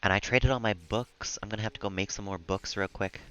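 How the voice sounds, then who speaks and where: A man chatting casually and calmly as he streams a video game, rambling a little as he plays. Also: lowish voice, a little fast, smooth, faint music behind. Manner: calm, relaxed, rambling. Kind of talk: casual chat while gaming.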